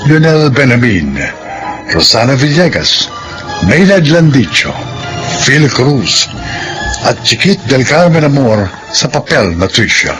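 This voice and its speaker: A man announcing the credits of a radio drama in a measured, formal way. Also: mid-range voice, very animated, rough recording. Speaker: program announcer. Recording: radio broadcast with music bed. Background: music.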